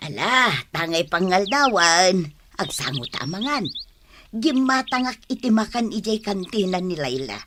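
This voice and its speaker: A woman's voice: mid-range voice, very animated, moderate pace, fairly bright tone, slight background noise. An actress with an Ilocano accent playing a good-natured middle-aged village wife and mother in a radio comedy drama. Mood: cheerful, coaxing.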